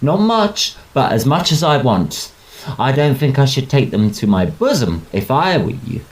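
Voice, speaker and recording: A man's voice: medium pitch, big pitch swings, unhurried, rough recording. A man playing a hearty, gossipy young student oarsman in a Victorian audio drama, speaking emphatically in clipped British English. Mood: confiding, disdainful. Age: young adult.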